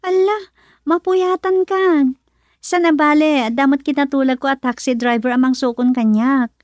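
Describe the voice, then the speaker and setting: A bashful young working woman in a radio drama. Her voice is high and takes its time, with musing, drawn-out interjections. The recording is close and dry. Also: fairly even intonation, dry recording. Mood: coy, modest. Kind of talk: radio drama acting in Ilocano.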